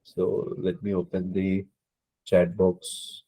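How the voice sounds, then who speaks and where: A man's voice: deep voice, completely flat delivery, slow pace, creaky, dark tone, slightly rough, rough recording. Man with a cold teaching online. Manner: hesitant, many fillers, repeated apologies. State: hoarse from a cold. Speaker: IELTS instructor. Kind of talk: live online class teaching.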